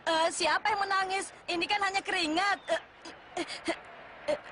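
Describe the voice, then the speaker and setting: A woman's voice: very high-pitched, steady tone, moderate pace, background noise. A woman voicing an emotional, proud young boy footballer in a dubbed anime. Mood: tearful, defensive.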